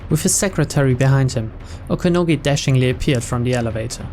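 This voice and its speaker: A woman's voice: extremely low pitch, fast. A woman reading visual novel text aloud as dramatic narration for a gaming channel. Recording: loud game music behind voice.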